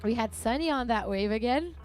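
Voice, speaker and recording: A woman's voice: a little high, expressive, a little fast. A woman commentating a live beach contest, chatty and upbeat as she interviews athletes and reads out scores. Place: outdoor beach event. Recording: music playing behind.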